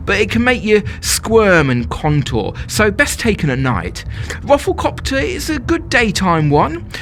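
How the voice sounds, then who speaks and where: A man's voice: high-pitched, a bit bright, a little hiss or hum, exaggerated voice. An actor playing a smitten, self-doubting young festival-goer, telling his story as a confiding, wry dramatic monologue.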